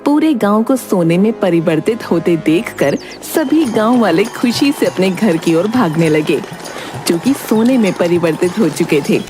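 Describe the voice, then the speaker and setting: A woman's voice: mid-range voice, lively intonation, a little slow, warm tone, soft background music. A woman narrator reading a scripted moral tale in a storytelling style.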